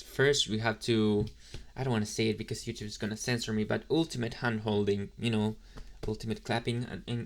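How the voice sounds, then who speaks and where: A man's voice: mid-range voice, natural voice. A man playing an inexperienced young male demon intern in an ASMR roleplay, chatting in a casual, hesitant, apologetic way straight to the listener. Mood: awkward, explaining. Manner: rambling, hesitant, casually chatty with slangy asides.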